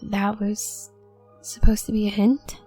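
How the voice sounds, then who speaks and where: Shy young witch classmate played by a woman, audio roleplay: slow, hesitant stutter, breathy soft voice, awkward and flustered. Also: medium pitch, completely flat delivery, faint music behind. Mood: dawning realisation, embarrassed. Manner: heavy nervous stammer, halting and hesitant. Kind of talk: roleplay audio drama addressed to the listener.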